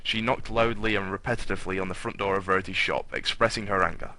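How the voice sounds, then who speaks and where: Male narrator for comic fiction, lowish pitch, very bright forceful tone, dramatic delivery. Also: fairly even intonation, fast, no room sound, low-quality recording. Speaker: narrator and host of a comic fan fiction reading. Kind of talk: dramatic comic story reading.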